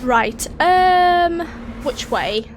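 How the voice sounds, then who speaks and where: A woman with a cold and a raspy, hoarse voice, chatting casually as she plays a video game and thinks aloud. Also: very high voice, extremely slow. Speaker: let's play host. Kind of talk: casual gameplay commentary. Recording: voice over steady game engine noise.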